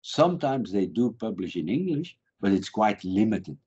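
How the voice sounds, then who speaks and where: A man's voice: on the low side, normal speed, breathy edge. A man in his fifties from Belgium, a Dutch speaker, answering interview questions as a guest professor, restarting phrases as he thinks. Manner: animated, repeats words while thinking. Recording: dull lo-fi recording.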